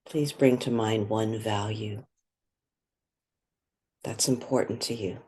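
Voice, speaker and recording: A soft-spoken woman leading a guided meditation, pausing between short phrases. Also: extremely low pitch, fairly even intonation, a little hiss or hum.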